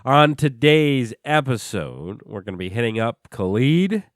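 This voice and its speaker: A man hosting a music podcast in a relaxed, chatty way, with a stuffed-up voice from a cold and plenty of filler words. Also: mid-range voice, measured pace, no echo, clean studio sound. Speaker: podcast host. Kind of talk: casual music show chat.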